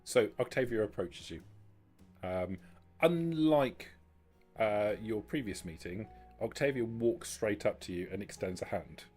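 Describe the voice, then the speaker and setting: A man's voice: fairly low pitch, expressive, measured pace, a little mellow, slightly rough, faint music behind. A man working as game master, improvising narration and talking players through a tabletop game.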